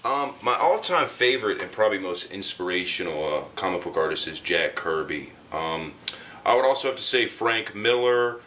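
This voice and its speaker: A man with a deep voice gives interview answers for a documentary. His delivery is halting yet emphatic, and faint noise sits behind him. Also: very animated, bright, forceful tone. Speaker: comic book artist.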